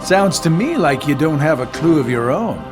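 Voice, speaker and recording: Sly, irreverent villain henchman, higher-pitched. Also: very animated, moderate pace. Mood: mocking, casual. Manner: casual, mocking. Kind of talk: video game cutscene acting. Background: background music.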